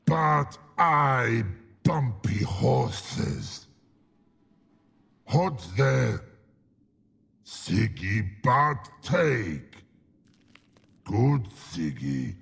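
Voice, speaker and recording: An actor playing a big, childlike, distressed troll in a video game, with rough gravelly speech in simple broken phrases. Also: male voice, medium pitch, big pitch swings, very slow, pauses often, fairly bright tone, exaggerated voice. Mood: agitated, simple.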